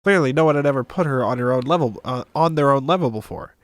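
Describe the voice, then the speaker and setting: A man's voice: mid-range voice, fast, dry recording, studio quality. A man streaming, reading a visual novel aloud expressively with casual asides.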